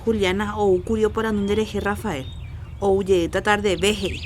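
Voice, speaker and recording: A woman's voice: mid-range voice, fairly even intonation, moderate pace, darkish tone, slight background noise, close to an everyday voice. A woman playing a supportive Paraguayan mother of a rural family in a radio soap opera.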